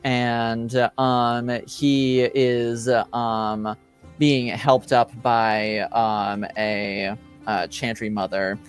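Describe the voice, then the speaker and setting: Slow, halting man running a tabletop game. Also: mid-range voice, little pitch movement, clear, resonant tone, soft background music. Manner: halting, searching for words. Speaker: game master. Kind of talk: improvised tabletop game narration.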